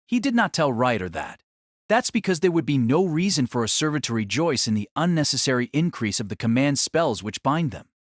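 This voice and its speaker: Male narrator for fantasy audio drama, slightly high voice, scripted dramatic reading, dry close recording. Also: no echo.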